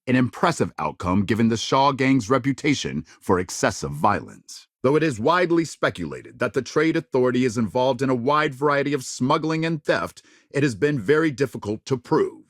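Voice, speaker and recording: A man playing a formal, authoritative news anchor in a science fiction game, delivering scripted reports with measured, dramatic emphasis. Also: medium pitch, lively intonation, dry recording, clean studio sound, natural voice. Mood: grave, polished. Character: polished, authoritative male news anchor of a spacefaring future. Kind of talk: scripted news broadcast narration.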